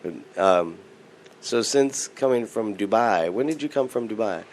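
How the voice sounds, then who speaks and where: A man's voice: lowish voice, lively intonation, measured pace, darkish tone, slight background noise. A male radio host in casual chat, rambling and jokey, often restarting his sentences.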